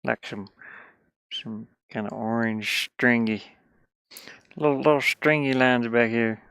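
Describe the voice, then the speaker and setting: A man's voice: medium pitch, fairly even intonation, slow pace, vocal fry, fairly bright tone, clear, resonant tone, dry recording, clean studio sound. A man chatting casually and informally while he draws on a tablet, rambling and often muttering half to himself. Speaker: livestreamer drawing on an art tablet. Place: inside a metal van lined with insulation.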